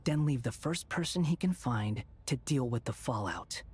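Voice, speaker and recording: A woman's voice: a bass voice, lively intonation, moderate pace, a little mellow. An actor playing an aloof, sarcastic young male wanderer in an anime game, cool, curt and formally dry. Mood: cool, critical. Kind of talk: anime video game dialogue.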